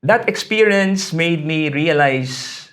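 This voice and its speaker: A man's voice: fairly high pitch, expressive, takes it slowly, fairly bright tone. Hesitant Filipino man, middle-aged academic. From Philippines. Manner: hesitant, many fillers and restarts. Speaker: interview guest, history academic. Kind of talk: conversational interview.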